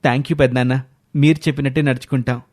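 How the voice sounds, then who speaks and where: A man's voice: medium pitch, flat delivery, fast talker, a little mellow, natural voice. A man voicing a respectful, dutiful young man in a family story, polite and earnest, with music behind. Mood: respectful, glad. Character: respectful young adult grandsons, earnest, dutiful, caring brothers.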